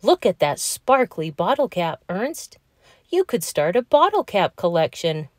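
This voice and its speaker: A woman's voice: lowish voice, big pitch swings, a little slow, a little mellow, no echo, clean studio sound. A woman voicing a practical, bossy young male crocodile, a skeptical friend in a children's storybook, speaking emphatically. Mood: suggesting.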